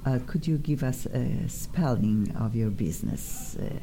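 A woman's voice: a bass voice, measured pace, dark tone, a little hiss or hum. A woman with a Polish accent hosting a live radio interview show, effusive and hesitant with many fillers. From Poland.

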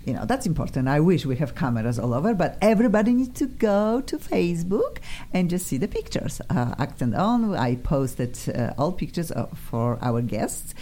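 A woman with a Polish accent hosting a casual studio interview, chatty and effusive, flattering her guests. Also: sits low, normal speed. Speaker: radio show host. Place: radio studio.

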